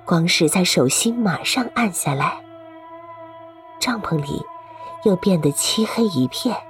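A woman's voice: lowish voice, lively intonation, unhurried, faint music behind. A woman reading scripted story narration for an audio drama.

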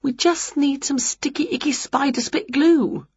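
A woman voicing an excitable, bossy, scatterbrained witch in a children's story, full of exclamations and mock swearing. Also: high voice, a little slow, no room sound, highs cut off, pitched above the performer's own voice. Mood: pleased.

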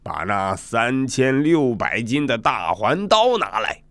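A man voicing a stately old dragon king in a storybook tale, speaking in a measured way. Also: medium pitch, big pitch swings, a bit bright, dry recording, full character voice. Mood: unbothered, commanding.

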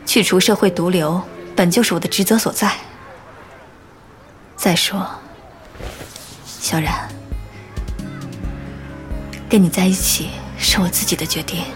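A woman's voice: fairly low pitch, a little slow, pauses often, lightly acted. Woman acting a caring, resolute lover over music. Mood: reassuring, resolute. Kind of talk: Chinese audio drama acting. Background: prominent background music.

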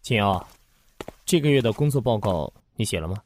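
An actor playing an earnest, curious office worker who is easily incredulous, in an audio drama. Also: male voice, on the low side, animated, a little fast, darkish tone, slight background noise, lightly acted. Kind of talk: Chinese audio drama acting with first-person narration.

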